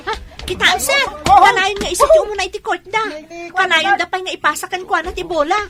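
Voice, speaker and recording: A woman's voice: extremely high pitch, brisk, music in the background. A woman playing an eager, supportive young female friend with an encouraging manner in an audio drama. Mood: excited. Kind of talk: Filipino radio-style drama acting.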